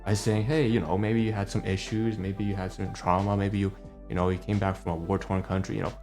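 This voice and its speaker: A man's voice: lowish voice, level tone, brisk, a little mellow, faint music behind. A man in his late twenties whose first language is Cantonese, ranting heatedly at home in a personal vlog, swearing often and tagging lines with "all right?" and "okay?". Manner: heated, profane ranting with many rhetorical tags. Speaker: vlogger.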